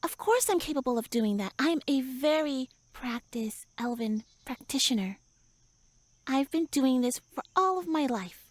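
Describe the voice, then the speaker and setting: A woman's voice: high-pitched, animated, measured pace, dry recording. A woman voicing a tiny, pouty tsundere elf with little wings, flustered, huffy and indignant, speaking to the listener in a roleplay audio drama.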